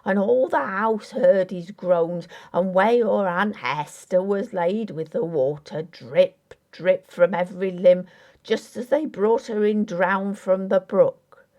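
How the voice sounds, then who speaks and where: A woman's voice: medium pitch, slow, a little mellow, dull audio. A woman voicing a dogged, wry, superstitious old village carpenter with a folksy, rambling way of talking. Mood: shocked, protesting. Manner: folksy, rambling, dialect grammar.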